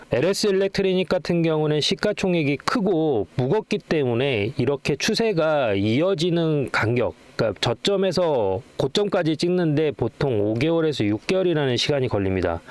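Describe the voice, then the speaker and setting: A man's voice: mid-range voice, no echo. A middle-aged man hosting a stock trading channel, explaining charts informally and often putting rhetorical questions to his viewers.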